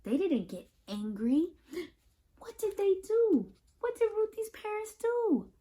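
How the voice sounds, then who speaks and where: High-pitched, reassuring American woman reading to children. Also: takes it slowly. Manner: affirming, reassuring, speaks directly to young listeners; expressive, repeats phrases for emphasis. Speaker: children's read-aloud host. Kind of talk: picture book read-aloud with talk to children.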